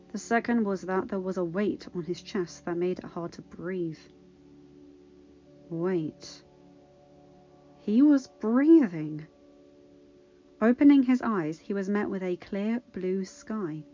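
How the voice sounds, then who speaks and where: Unhurried woman narrating a dramatic story. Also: medium pitch, expressive, dark tone, highs cut off, faint music behind. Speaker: podfic narrator.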